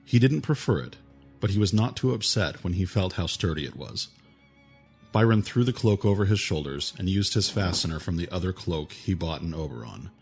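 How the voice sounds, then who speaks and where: Very low-pitched male audiobook narrator. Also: animated, normal speed, darkish tone, slightly rough. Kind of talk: scripted audiobook narration of fantasy fiction. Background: music.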